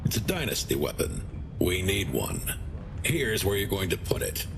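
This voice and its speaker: An actor playing a gruff, urgent male general giving dramatic briefings in a military strategy game, with an emphatic, commanding manner. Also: low-pitched, huge pitch swings, normal speed, noisy background, exaggerated voice. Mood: grave, intent.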